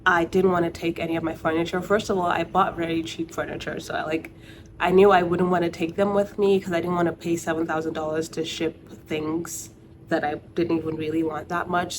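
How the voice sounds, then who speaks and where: Chatty young woman from Nigeria, lowish voice. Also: background noise. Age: young adult. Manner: chatty, reflective, peppered with "like". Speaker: vlogger. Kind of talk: casual talking-head vlog.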